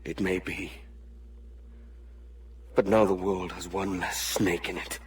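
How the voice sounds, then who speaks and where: A man's voice: sits low, slow pace, a little hiss or hum. An actor playing a brash young male pirate captain in a video game cutscene, blunt and defiant. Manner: blunt, defiant, lively delivery.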